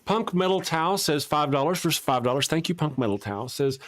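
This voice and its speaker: A man's voice: a little high, lively intonation, brisk. Laid-back Texan man hosting a stream. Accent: Texas. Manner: laid-back, jokey, reads out super chats. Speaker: host. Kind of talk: live-stream panel chat.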